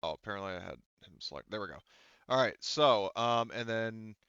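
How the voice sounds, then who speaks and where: A man's voice: lowish voice, lively intonation, a little fast, a bit bright, clean tone, dry recording, muffled recording. A man casually narrating and talking players through a tabletop game as game master.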